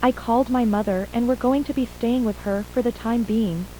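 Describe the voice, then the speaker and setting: Casting: woman to play shaken mother and witness in horror audio drama, high, very soft-toned voice, hesitant recounting. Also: steady tone, normal speed, natural voice, poor audio. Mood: subdued, uneasy. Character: shaken mother and wife, surviving witness of an attack. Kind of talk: acted interview in an analog horror audio drama. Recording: faint hum and hiss.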